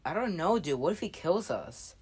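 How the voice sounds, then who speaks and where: A woman playing a laid-back, doubtful dude with a slangy, halting delivery in improvised amateur dialogue. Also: lowish voice, very animated, darkish tone, husky, full character voice. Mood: wary.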